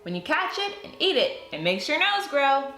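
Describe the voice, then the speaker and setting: A woman's voice: sits high, very animated, moderate pace, sharp tone, reverberant, soft background music, voice pushed up, full character voice. A woman playing earnest storybook schoolteachers with fanciful ideas, speaking in theatrical rhyme. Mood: knowing. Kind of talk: children's storybook read-aloud.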